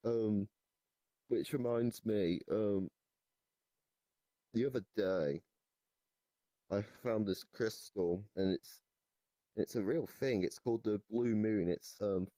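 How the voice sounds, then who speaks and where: A man playing a deranged goblin storyteller in an improvised comic interview, rambling haltingly and nonchalant about absurd claims. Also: on the low side, mellow, no room sound. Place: virtual reality voice chat.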